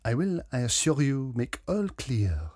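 A man voicing a pompous, dapper little detective with a French accent, theatrical and deliberate, in a mystery story. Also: mid-range voice, very animated, darkish tone, dry recording, clean studio sound. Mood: smug, probing. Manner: pompous, self-regarding, deliberate.

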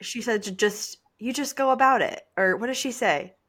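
A woman's voice: on the high side, moderate pace, fairly bright tone, breathy edge. A young adult woman co-hosting a podcast, giving advice conversationally with earnest, repeated emphasis. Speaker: podcast co-host.

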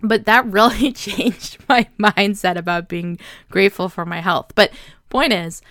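A woman's voice: medium pitch, steady tone, unhurried, fairly bright tone, no room sound, studio quality. A young woman talking casually and earnestly to her viewers, filling her sentences with "like" and "right?". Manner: chatty, earnest, many fillers like "like" and "right?". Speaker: vlogger. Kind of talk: casual reflective talk to camera.

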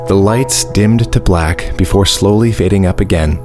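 A man with a fairly low voice narrates a scripted radio drama. Music plays clearly underneath his storytelling. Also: normal speed.

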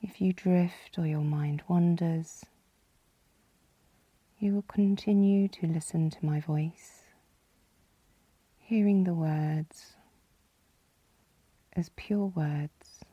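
A woman leading a guided yoga nidra relaxation as the guide, calm and soothing, with a soft, breathy, husky voice. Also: lowish voice, very slow, pauses often, no echo, highs cut off. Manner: calm, soothing, gently instructing.

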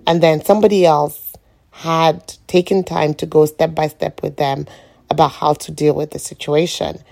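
A middle-aged woman with a croaky morning voice, speaking in a rambling, reflective way on an informal solo podcast. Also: sits low, fairly even intonation, moderate pace, dark tone. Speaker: podcast host.

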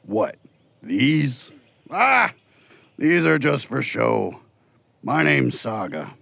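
A man playing a huge, jovial furry beast who teases in a gravelly, husky voice in a children's audio drama. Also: very animated, bright, forceful tone, no room sound, full character voice. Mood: amused, teasing. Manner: unhurried, booming, playfully teasing.

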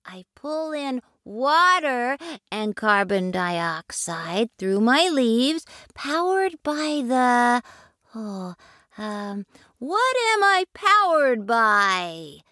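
A woman voicing an eager young boy tree in a storybook reading, with a slow, wide-eyed, sing-song delivery. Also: on the high side, very animated, bright, forceful tone, no echo, clean studio sound, voice pushed up, full character voice. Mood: puzzled. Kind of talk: storybook character voice.

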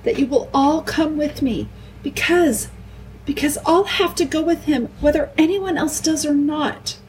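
A woman's voice: sits high, animated, moderate pace, a little hiss or hum, pitched above the performer's own voice, poor audio. Woman doing a tremulous little girl. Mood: pleading. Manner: tremulous, pleading. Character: youngest girl, earnest and pleading.

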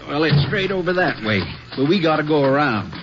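Higher-voiced folksy country sheriff. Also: male voice, lively intonation, a little fast. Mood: easygoing. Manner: folksy rural phrasing. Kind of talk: 1950s radio drama acting. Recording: muffled, noisy old radio recording.

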